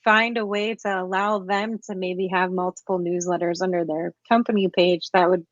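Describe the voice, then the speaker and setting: A woman's voice: on the low side, level tone, normal speed, no echo, dull audio. A woman interviewing a guest in casual conversation, chatty and full of restarts and fillers.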